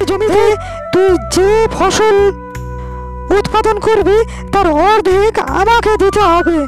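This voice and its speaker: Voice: extremely high pitch, fairly even intonation, slow pace, loud music behind the voice. A performer voicing a greedy village land seller in a cartoon, demanding and domineering. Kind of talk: Bengali cartoon character dialogue.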